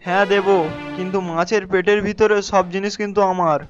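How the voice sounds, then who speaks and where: A greedy, crafty fishmonger from an animated village tale, played by a man, speaks in a very high male voice. He is grudging and sarcastic, on a dull recording with music behind. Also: brisk, lightly acted. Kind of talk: animated folk tale voice acting.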